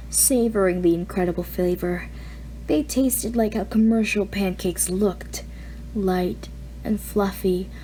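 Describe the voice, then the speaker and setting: A woman narrating fan fiction as an audiobook reader in a gentle, even storytelling manner. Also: medium pitch, a little hiss or hum, low-quality recording. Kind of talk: reading fan fiction aloud.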